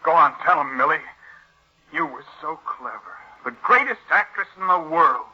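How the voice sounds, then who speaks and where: A man's voice: on the high side, animated, moderate pace, husky. A man playing a bitter, nervous accomplice and brother to a murderous actress in 1940s radio drama, uneasy and sarcastic. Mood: bitter, mocking. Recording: muffled old radio recording.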